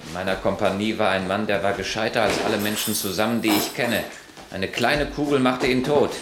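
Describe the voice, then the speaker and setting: An actor as a lowish-voiced, troubled soldier husband. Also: male voice, brisk, close to an everyday voice. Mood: brooding. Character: troubled soldier husband, muddled yet domineering. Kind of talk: radio drama acting. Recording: echoey, noisy recording with clicks.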